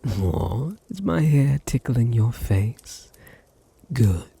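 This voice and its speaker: A British man voicing a possessive, seductive vampire noble in an intimate ASMR roleplay, teasing and soothing, often dropping into a breathy whisper. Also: mid-range voice, expressive, dark tone, dry recording, exaggerated voice. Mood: tender, possessive. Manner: slow, soothing, teasing, condescendingly tender. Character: dominant, possessive, seductive male vampire noble doting on his servant.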